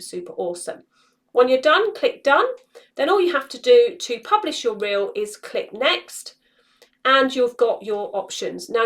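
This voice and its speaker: High-pitched woman giving a step-by-step tutorial. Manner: explanatory, step-by-step. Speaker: social media tutorial host. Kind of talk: instructional screen walkthrough, casual. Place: small indoor room.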